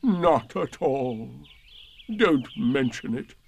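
A man voicing a gloomy, self-pitying old male donkey in a storybook reading, speaking in a slow, mournful drawl. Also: medium pitch, lively intonation, gravelly, husky, full character voice.

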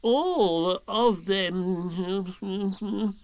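A man's voice: high-pitched, big pitch swings, slow pace, pitched above the performer's own voice, full character voice. A man voicing a fussy, self-important old male owl in a storybook reading, with a pompous, chuckling delivery.

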